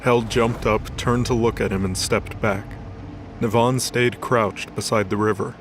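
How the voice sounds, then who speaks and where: Low, even-toned male storyteller. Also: unhurried, noisy background. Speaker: narrator. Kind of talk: scripted story narration.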